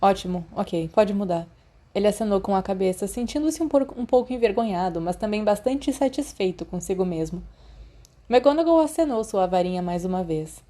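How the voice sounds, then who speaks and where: A woman with a Brazilian accent reading a story aloud in an expressive audiobook style. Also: mid-range voice, fairly quick. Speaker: podfic narrator. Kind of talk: expressive audiobook narration of fan fiction.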